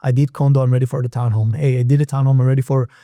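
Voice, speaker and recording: A man's voice: mid-range voice, little pitch movement, rapid-fire, warm tone, no room sound, studio quality. A man from Israel with an Israeli accent, a native Hebrew speaker, explaining lending as a podcast guest with frequent restarts. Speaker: private real estate lender, guest. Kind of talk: casual podcast interview.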